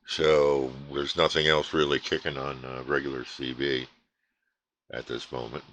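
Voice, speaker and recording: A man casually chatting about his radio hobby at his home station, relaxed and rambling with many fillers. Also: rumbling low, measured pace, slight background noise. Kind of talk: casual chat to camera.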